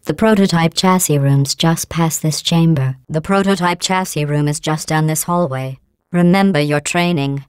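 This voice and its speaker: A low, smooth female machine intelligence, as an actress plays her that sneers through politeness. The voice is cool and condescending, recorded dry and close for a game. Each barb comes out level and unhurried. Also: no room sound, lightly acted. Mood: deadpan mocking. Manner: dry, condescending insults delivered calmly. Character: cold sarcastic female AI overseer. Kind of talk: video game voice clips.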